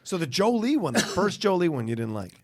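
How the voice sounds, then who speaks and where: A man's voice: sits high, sing-song, fairly quick. A man co-hosting a podcast, giving blunt, emphatic, sweary opinions in casual film chat. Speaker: podcast co-host.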